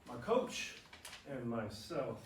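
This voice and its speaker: A woman's voice: extremely low pitch, sing-song, takes it slowly, heavily acted. A woman playing a cocky young male baseball star in a staged play reading. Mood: smug. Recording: very echoey, distant, faint noise.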